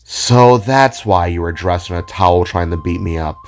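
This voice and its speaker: A man's voice: sits low, voice pushed down. Bewildered young outsider played by a man, hesitant and shy, audio drama with faint music. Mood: dawning realisation. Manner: hesitant, halting. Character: shy, bewildered young male spark newcomer. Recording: music behind the voice.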